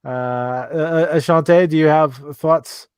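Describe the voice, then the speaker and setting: An Irish man hosts a live video-call chat show and reads viewer questions aloud. His voice sits a little high and sounds dry and close, and he stumbles through fillers and restarts as he talks. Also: slow pace, smooth, no room sound. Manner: hesitant, many fillers and restarts. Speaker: livestream host.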